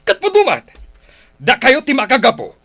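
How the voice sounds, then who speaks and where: A man's voice: very high voice, wildly swooping pitch, moderate pace, sharp tone, breathy edge, heavily acted. A man playing an irritable, blaming husband and father in a Filipino radio drama, with an Ilocano accent. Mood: angry, blaming. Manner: grumbling, scolding.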